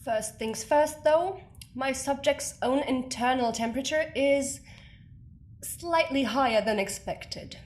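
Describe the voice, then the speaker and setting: An actress playing a reserved research scientist in an audio drama, speaking in a formal, measured, deadpan way as if dictating a log. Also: female voice, high voice. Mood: clinical. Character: reserved, clinical female research scientist, drily unamused.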